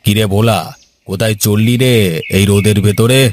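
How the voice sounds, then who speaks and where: A man's voice: on the low side, steady tone, moderate pace, a little mellow, a little hiss or hum, natural voice. A man voicing a chatty, curious neighbour in a cartoon. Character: older man, friendly neighbour, nosy.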